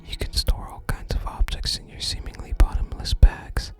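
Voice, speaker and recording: A person whispering soft, unhurried ASMR commentary while playing a video game and reading out its text.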